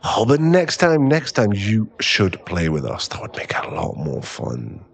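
A man playing a drunk, clingy, lovesick boyfriend in an intimate ASMR roleplay, with halting, pleading speech and a husky, gravelly voice. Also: fairly low pitch, wildly swooping pitch, full character voice. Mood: tipsy, playful. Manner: tipsy, halting, drawn-out, pleading delivery.

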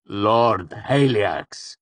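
Man voicing a slow, deep, coldly menacing dark lord. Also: no echo, exaggerated voice. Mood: cold, commanding. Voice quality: cool, soft. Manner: calm, polite, deliberate menace. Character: shadowed, hooded male dark lord, coldly patient and menacing.

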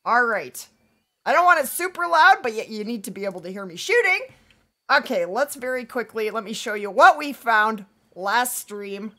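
A woman's voice: fairly high pitch, lively intonation, moderate pace, bright, forceful tone. A woman streaming a hunting game live, chatting and greeting her viewers by name while she is losing her voice. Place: home streaming setup.

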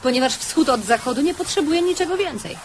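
A woman's voice: high-pitched, expressive, fairly quick, slight background noise. An actress playing a solemn, ironic female chorus narrator in a radio tragifarce, with a declamatory delivery. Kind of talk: theatrical radio drama narration.

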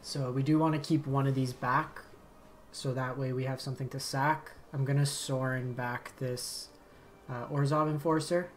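A man, slightly raspy from a long day of talking, calmly commentating his card game as he plays, thinking aloud and muttering asides. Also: mid-range voice, moderate pace, a little hiss or hum. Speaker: game streamer. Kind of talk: casual gameplay commentary. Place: home streaming setup.

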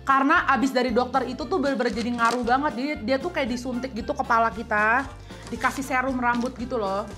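Chatty Betawi-accented woman, a bit high. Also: quick pace, music in the background. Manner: chatty, animated, with playful put-on voices. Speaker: guest. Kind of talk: casual chat. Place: bright indoor room.

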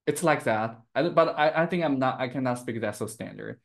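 A man's voice: medium pitch, brisk, creaky. A young man from Chengdu with a Chinese accent, teaching Mandarin on a live stream and switching between English and Chinese. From Chengdu, Sichuan, China. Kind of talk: live-streamed language lesson.

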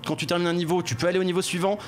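A man reviewing a video game on a studio panel show, talking quickly and rambling with frequent restarts. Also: high-pitched, little pitch movement, soft background music. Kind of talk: casual panel chat. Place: studio with headsets. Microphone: studio mic.

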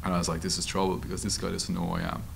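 Male interviewee, Irish, low creaky voice, conversational storyteller, light room noise. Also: rapid-fire. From Ireland. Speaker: journalist and author being interviewed. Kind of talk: conversational interview answers at a public discussion. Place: meeting room at a table. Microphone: table microphone. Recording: steady background room noise.

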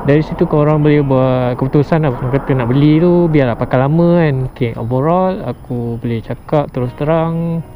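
A man's voice: fairly high pitch, brisk, hardly any pauses, background noise. A young man with a Malaysian accent giving a casual product review as a motorcycle vlogger, slangy and mixing in English words. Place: outdoors on a motorbike at a roadside. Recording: dull muffled audio, loud background music. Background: music added behind the voice.

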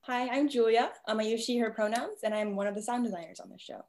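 A woman's voice: on the high side, fast talker, a little mellow. A woman speaking casually as a sound designer on a discussion panel.

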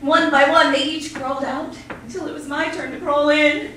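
Bright-voiced woman telling a comic tale. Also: sits high, moderate pace, a little hiss or hum. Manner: animated, playful, laughing. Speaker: contest speaker. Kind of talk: comic storytelling speech. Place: large hotel ballroom. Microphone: handheld mic, far camera. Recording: echoey hall from far off.

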